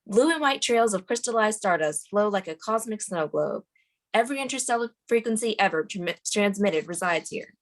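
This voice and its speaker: A woman's voice: medium pitch, lively intonation, moderate pace. A woman reading scene directions as the narrator at a screenplay table read.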